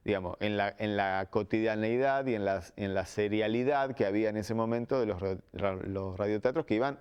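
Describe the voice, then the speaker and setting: A man's voice: on the low side, a little fast, clean tone, highs cut off. An Argentine man who makes radio drama, explaining his work in an interview. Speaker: radio drama workshop leader.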